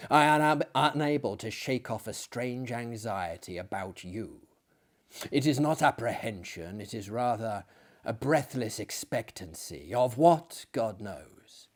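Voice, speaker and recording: A man voicing a gentle, anxious artist in a gothic story, speaking to his friend in an earnest, grave, confiding way. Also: medium pitch.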